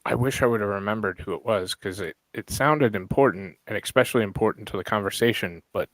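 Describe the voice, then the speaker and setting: A man with a hoarse, worn-out voice chatting casually as a podcast host, rambling and searching for names as he goes. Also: fairly low pitch, quick pace, a bit bright, dry recording, rough recording. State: hoarse, lost voice. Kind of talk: casual online chat.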